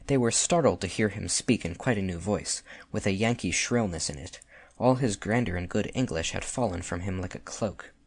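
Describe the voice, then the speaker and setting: A man's voice: deep voice, normal speed, husky, dry recording. A man narrating classic fiction from a script as an audiobook narrator.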